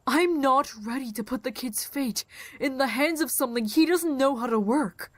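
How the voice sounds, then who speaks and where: A woman playing a troubled, protective survivor in an audio drama, speaking in a deliberate, brooding way. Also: high-pitched, dry recording, close to an everyday voice. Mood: worried, reluctant. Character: protective, foul-mouthed, troubled adult survivor.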